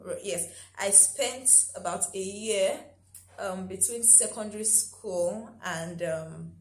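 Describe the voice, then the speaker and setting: Brief: young Nigerian-accented woman, chatty vlogger, mid-pitched, roomy echo with faint hiss. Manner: chatty, laughs often, frequent "um" and "right?". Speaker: YouTuber. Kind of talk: casual vlog talk.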